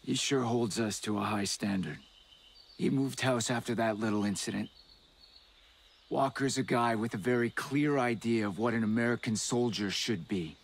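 A man's voice: medium pitch, moderate pace, vocal fry. A man playing a weary, wary middle-aged soldier in a video game, grim and matter-of-fact. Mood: grim warning. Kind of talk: video game cutscene acting.

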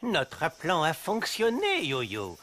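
An actor voicing a small bookish animal advisor in a French cartoon, measured and a little hesitant. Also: male voice, a little high, huge pitch swings, full character voice. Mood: satisfied, resolute. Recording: loud music under the voice.

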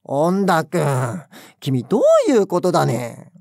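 A man's voice: on the high side, animated, slow, vocal fry, a little mellow, dry recording, clean studio sound, heavily acted. An actor playing a stern middle-aged male section manager who scolds his employee in a paternal way. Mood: reproachful. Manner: scolding, paternal boss talk.

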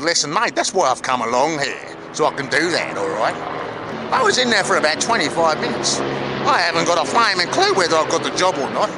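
Man putting on a brash, high-pitched Australian actor. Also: background noise. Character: brash excitable matey Australian actor vlogging his auditions. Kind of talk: vlog monologue with slang.

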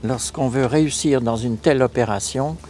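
An older man from France, a local mayor, recounting a restoration project in a measured, formal way in an outdoor interview. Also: medium pitch, mellow, a little hiss or hum. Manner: measured, formal, a few fillers. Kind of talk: interview answers, measured account. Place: outdoors on a stone chapel tower balcony.

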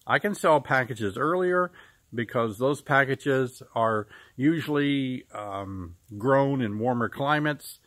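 A middle-aged American man from Illinois giving a casual beekeeping lesson outdoors to a close camera, relaxed and explanatory with frequent pauses. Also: medium pitch, dry recording. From United States. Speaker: beekeeping teacher. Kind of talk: casual instructional talk to camera. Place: outdoors beside a farm building. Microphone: camera at close range.

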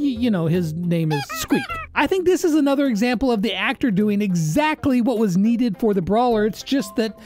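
A man's voice: very high voice, a little mellow. A man, giving casual, conversational countdown commentary as a video host. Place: small home studio, indoors. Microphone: close boom-arm studio microphone. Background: faint music.

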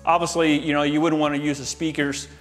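American guy in his 40s, kinda higher voice, upbeat casual house tour, music behind him. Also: level tone, fast talker. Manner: enthusiastic, informal. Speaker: home audio-video installer giving a tour. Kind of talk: casual walk-through presentation to camera. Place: inside a large, mostly empty house.

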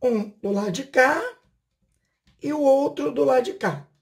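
A woman's voice: high-pitched, unhurried, gravelly. A woman, casually explaining a home craft step by step while she works, asking the viewer questions as she goes. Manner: chatty, talks while working with her hands, asks the viewer questions. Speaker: craft channel host. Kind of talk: casual hands-on tutorial. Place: home room, indoors.

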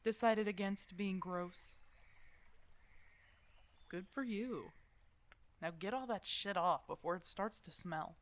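A woman's voice: mid-range voice, takes it slowly, long pauses, lightly acted. A woman playing a protective, teasing werewolf mentor in an ASMR roleplay, casual and bossy but affectionate. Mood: teasing, coaxing. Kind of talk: ASMR roleplay audio drama addressed to the listener.

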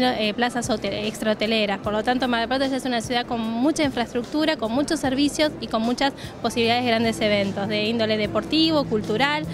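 Argentine woman promoting her city, fairly high. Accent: Argentine Rioplatense Spanish. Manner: fluent promotional listing, frequent "eh" fillers. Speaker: city tourism official. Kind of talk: interview answers at a trade fair. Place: busy trade fair stand indoors. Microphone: handheld reporter mic.

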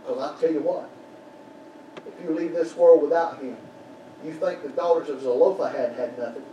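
Older pastor, fervent pulpit preacher, echoey sanctuary recording with room noise. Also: male voice, on the high side, normal speed, highs cut off. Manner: fervent preaching with sudden shouted peaks, then quiet prayer. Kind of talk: sermon. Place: small church sanctuary. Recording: roomy church echo from far off, background noise.

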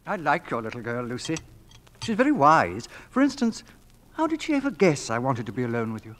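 Animated, suave flirt. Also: male voice, medium pitch, brisk. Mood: ardent, flirtatious. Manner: smooth, teasing, mock-hesitant courtship. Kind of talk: 1940s-style radio drama acting.

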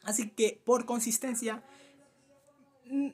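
A young man with a Latin American Spanish accent, chatting casually and self-deprecatingly to camera as a rambling, animated YouTuber. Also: very high-pitched, slow, slightly rough. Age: teens to early twenties. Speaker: YouTuber reacting to a fan dub. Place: small cluttered room at home.

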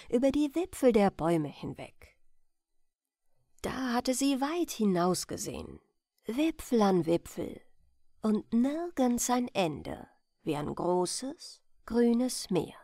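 A woman storyteller reading a bedtime fairy tale as scripted narration, with expressive, careful phrasing. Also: medium pitch, dry recording, studio quality.

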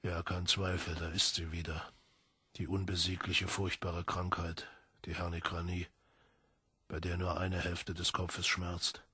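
A male audiobook narrator with a deep, very husky voice. He reads literary prose in a solemn, measured way with dramatic emphasis. The recording is dry, with no room sound. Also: completely flat delivery, bright, forceful tone, no room sound.

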